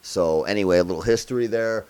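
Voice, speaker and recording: A man from upstate New York giving a relaxed, chatty piano lesson, thinking out loud as he plays by ear. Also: lowish voice, quick pace, smooth. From Rochester area, New York, USA. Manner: relaxed and chatty, thinks aloud while playing. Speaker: piano tutor. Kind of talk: casual play-by-ear piano tutorial. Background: loud piano playing.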